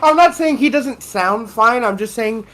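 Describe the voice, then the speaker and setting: A woman's voice: on the high side, lively intonation, moderate pace, fairly bright tone. A woman co-hosting a podcast, opinionated and exasperated, often repeating herself. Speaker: podcast co-host. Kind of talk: casual chat.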